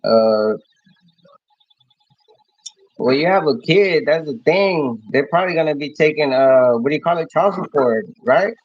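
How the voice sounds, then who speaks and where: A man in his thirties from Mexico chats with viewers on a livestream. He talks in a halting, rambling way and keeps restarting his phrases. The sound is dry and close, with dull, muffled audio. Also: on the high side, lively intonation, no echo. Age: 30s. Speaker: livestream host. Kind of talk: casual livestream chat answering viewers.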